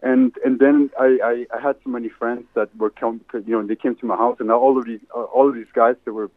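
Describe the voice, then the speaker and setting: A man's voice: mid-range voice, fairly even intonation, dry recording. Man on a tinny phone line. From Sweden. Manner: rambling, halting, many restarts. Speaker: interview guest, entrepreneur. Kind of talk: casual interview answers. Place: salad bar.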